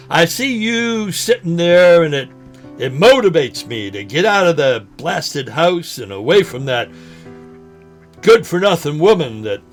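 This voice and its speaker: Folksy old fishing buddy: slow, halting, slightly higher male voice, gentle and sentimental, soft guitar behind. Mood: wistful. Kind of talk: audio drama acting, folksy dialogue.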